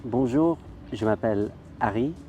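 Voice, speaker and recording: A man's voice: medium pitch, unhurried, dark tone, dull audio, soft background music. A man who grew up in the United Kingdom, interviewed casually, speaking hesitantly. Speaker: guest.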